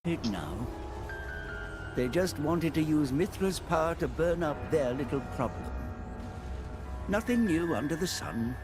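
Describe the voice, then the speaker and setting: A wry, world-weary cynic from a fantasy game, speaks slightly high with varied intonation. Music plays behind him. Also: male voice, sing-song, a little slow, pauses often. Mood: wry, cynical. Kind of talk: dramatic video game voice acting.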